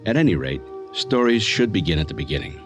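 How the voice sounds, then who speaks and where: An actor playing a composed male psychiatrist who narrates in a measured, clinical manner for a radio drama. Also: fairly low pitch, sing-song, over music. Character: composed male psychiatrist recounting a strange case.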